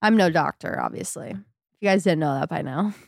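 A woman's voice: sits low, a little fast, vocal fry, dry recording, clean studio sound. A young woman co-hosting a podcast in casual chat, sounding nasal and stuffed up from a head cold, laughing often. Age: young adult. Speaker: podcast co-host.

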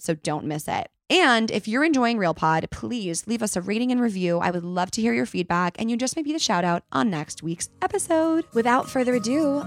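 A young woman hosts her podcast with a bubbly, upbeat energy and a slight rasp in her voice. She is recorded close on a very dry microphone, with no room sound at all. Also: medium pitch, dry recording. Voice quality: slightly raspy today. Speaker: podcast host. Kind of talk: casual chat and scripted intro.

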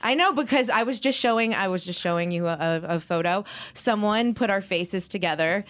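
A woman's voice: mid-range voice, a little fast, fairly bright tone. A woman in her thirties hosting a podcast in casual chat, gushing, swearing freely and laughing often. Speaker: podcast host.

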